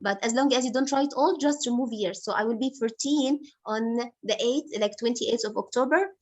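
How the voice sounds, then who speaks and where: A woman teaching English on a video call, patiently correcting a student's writing and calling him honey. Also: fairly high pitch. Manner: patient, explains slowly, repeats corrections, calls student honey. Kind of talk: online class correcting a student.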